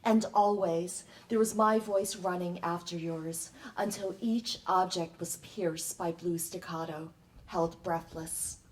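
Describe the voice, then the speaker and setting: A woman reciting poetry live with solemn, deliberate phrasing, recorded with faint room noise. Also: lowish voice, steady tone, poor audio. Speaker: poet and performer in an ensemble. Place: public library event before an audience.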